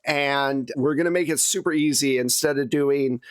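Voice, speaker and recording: A man's voice: mid-range voice, steady tone, moderate pace, clean tone, no echo, clean studio sound. A man speaking casually as a podcast host and game master, chatting with his players. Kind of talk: casual wrap-up chat with players.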